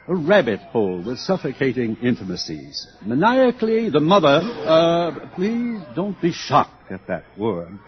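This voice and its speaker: Grand, theatrical controller with sweeping pitch. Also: male voice, full character voice. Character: urbane, authoritative world controller addressing students. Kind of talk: 1950s radio drama acting. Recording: dull old radio broadcast recording.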